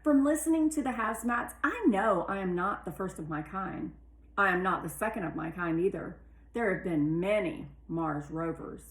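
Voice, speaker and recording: A woman's voice: on the low side, lively intonation, normal speed. A woman narrating as a curious, earnest robot rover longing to succeed, careful and precise, in a roomy storybook recording. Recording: roomy, echoey sound.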